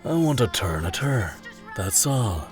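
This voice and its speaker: An actor playing a gaunt, menacing pirate who taunts in a lewd, leering way in an audio drama. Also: male voice, on the low side, big pitch swings, music in the background. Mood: lewd, taunting. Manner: taunting, lewd, slow drawl.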